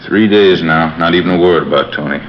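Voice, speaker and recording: Very deep, weary old man played by an actor. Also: fairly quick, a little hiss or hum, natural voice. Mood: weary, brooding. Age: older. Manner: rambling, folksy, repeats himself. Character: weary, brooding elderly father-in-law who likes a drink. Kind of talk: 1950s radio drama acting. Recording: muffled vintage radio, music behind.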